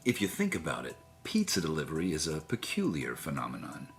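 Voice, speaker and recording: Deep-voiced man announcing radio drama. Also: wildly swooping pitch, brisk, faint music behind. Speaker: announcer of audio drama series. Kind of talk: scripted radio narration.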